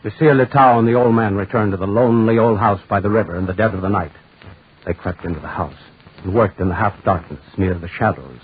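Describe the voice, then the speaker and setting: An actor playing an ominous storyteller, narrating a gothic 1940s radio horror drama. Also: male voice, lowish voice, brisk, lightly acted. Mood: hushed, foreboding. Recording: noisy, tinny 1940s radio recording.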